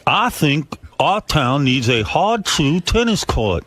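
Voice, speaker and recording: A man doing a mocking radio comedy impression of an earnest, self-important, slow-witted small-town parks volunteer. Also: fairly high pitch, very animated, a bit bright, full character voice. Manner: ponderous, self-important, over-earnest delivery.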